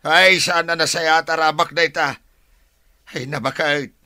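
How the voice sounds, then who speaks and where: An actor playing a married older father in an Ilocano radio drama, with a raspy voice and an Ilocano accent. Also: fairly high pitch, steady tone, a little slow, sharp tone, no echo, studio quality, full character voice. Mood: exasperated, scolding. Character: married older father, forceful and easily exasperated.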